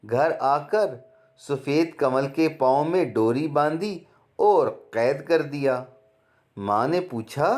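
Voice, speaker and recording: A man narrating a children's fairy tale in a measured, deliberate storytelling manner. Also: on the high side, a little mellow.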